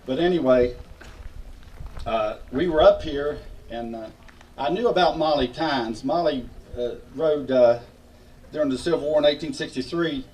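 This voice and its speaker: An older man with an Appalachian Virginia accent, a local musician telling and singing folk stories in a folksy, unhurried way. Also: mid-range voice, darkish tone. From Virginia, United States. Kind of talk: informal storytelling and folk singing to an audience. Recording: distant microphone, acoustic guitar behind. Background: acoustic guitar.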